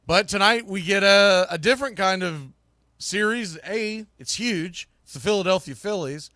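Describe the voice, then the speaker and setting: A man hosting a sports show in a folksy, excitable way, recapping and previewing games. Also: sits high, moderate pace, no room sound, clean studio sound. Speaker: sports podcast host.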